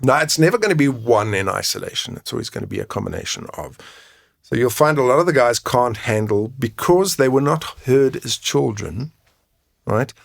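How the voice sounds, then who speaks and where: A man's voice: medium pitch, lively intonation, normal speed, gravelly, no room sound, clean studio sound. A man explaining things frankly and conversationally as an interview guest, often checking his points with a tag question. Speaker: guest, men's mental health coach and advocate.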